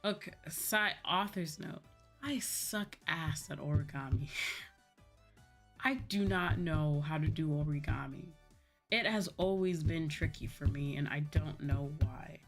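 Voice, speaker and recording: Young woman streamer just chatting, kinda low voice, animated and giggly telling stories, soft music in back. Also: unhurried. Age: young adult. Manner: animated retelling with acted-out quotes and frequent giggles. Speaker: streamer, VTuber host. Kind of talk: casual chat, personal storytelling. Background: background music.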